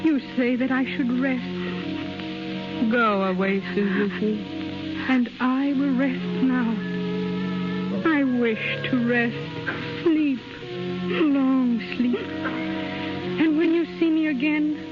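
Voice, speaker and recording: High-voiced, heartbroken young Japanese wife. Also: female voice, fairly even intonation. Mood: resigned sorrow. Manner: slow, halting, simple broken English. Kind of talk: 1930s radio drama acting. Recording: muffled 1930s radio recording, music behind.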